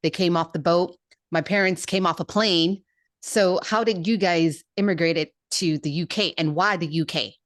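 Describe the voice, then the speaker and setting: Woman, young adult, podcast host, low-pitched, bubbly, eager, casual chat. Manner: bubbly, eager, quick bursts.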